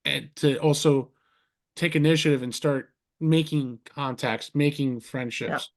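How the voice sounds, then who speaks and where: Brief: male podcast host, a little high-pitched, chatty and rambling, on a video call. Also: fairly even intonation, measured pace. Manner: rambling, restarts sentences, heaps on compliments. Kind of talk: casual interview chat.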